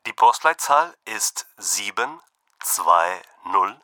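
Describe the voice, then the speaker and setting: A man playing a friendly young Brazilian-born student with Portuguese as his first language, speaking slowly and carefully in a scripted textbook dialogue. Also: lowish voice, animated, pushed and bright, no echo, full character voice. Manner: slow, carefully enunciated. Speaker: student calling a friend for help.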